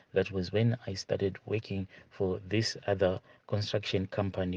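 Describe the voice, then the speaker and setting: A man's voice: on the low side, darkish tone, dry recording. A man hosting a storytelling channel, reading a listener's confession aloud in a plain first-person retelling with repeated asides. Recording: dull lo-fi dry audio.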